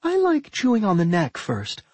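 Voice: mid-range voice, wildly swooping pitch, moderate pace, a little mellow, breathy edge, no echo, pitched above the performer's own voice. A narrator voicing a young warg girl in an audiobook, shy, earnest and bluntly feral, speaking in simple declarations.

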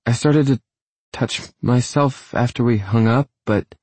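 A man's voice: lowish voice, a little fast, a little mellow, no echo, pitched above the performer's own voice, close to an everyday voice. A man voicing a bubbly, self-conscious, sweetly oddball young woman in an audiobook, gushing and a little hesitant. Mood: nervous, bashful. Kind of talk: audiobook character dialogue.

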